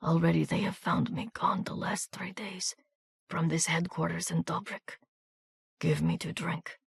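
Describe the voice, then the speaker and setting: A reader voicing a wounded, desperate young soldier who speaks in a weak, breathy murmur with a soft foreign accent. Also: female voice, fairly low pitch, fairly even intonation, measured pace, no room sound, in a whisper, clean studio sound, heavily acted. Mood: pleading.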